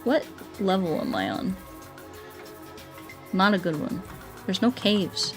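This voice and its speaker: A woman's voice: mid-range voice, very animated, slow pace, faint music behind. A woman livestreaming a video game, chatting casually with viewers in a giggly, playful, mock-earnest way. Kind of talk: casual chat with viewers while playing.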